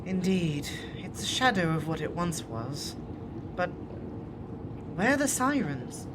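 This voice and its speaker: A woman's voice: fairly low pitch, big pitch swings, slow pace, noisy background. A woman playing an earnest, persuasive exiled shapeshifter in a tabletop roleplay.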